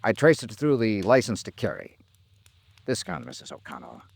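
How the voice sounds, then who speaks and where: Low-voiced, expressive hardboiled radio detective. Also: male voice, moderate pace, vocal fry, slightly rough, no room sound, exaggerated voice. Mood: earnest, frank. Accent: American. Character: hardboiled insurance investigator, weary, with a soft spot. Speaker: lead actor and first-person narrator. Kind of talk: 1950s American radio detective drama acting and narration.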